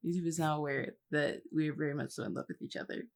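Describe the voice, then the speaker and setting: A woman's voice: low-pitched, fairly even intonation, fast, vocal fry. A woman chatting casually as a podcast guest, rambling a little as she thinks aloud. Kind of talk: casual chat.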